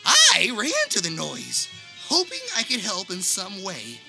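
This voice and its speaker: A woman's voice: mid-range voice, wildly swooping pitch, a little slow, gravelly, over loud music, heavily acted. A woman playing an overwrought, haunted man telling his childhood trauma in a comedic radio play, drawn out and theatrical. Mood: solemn, haunted.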